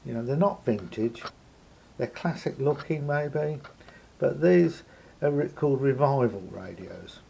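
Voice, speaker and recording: A man with a gravelly, husky voice gives an unscripted hands-on demonstration, thinking aloud hesitantly as he explains. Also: mid-range voice, expressive, unhurried, dark tone, slight background noise. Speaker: hobbyist radio repairer. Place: indoor room at a table.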